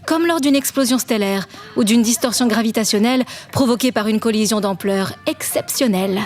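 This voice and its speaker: A woman's voice: on the high side, a little fast, darkish tone, faint music behind, natural voice. A woman playing an articulate, judgmental, science-minded woman from a parallel universe in a French audio drama. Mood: matter-of-fact. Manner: explanatory, reproachful.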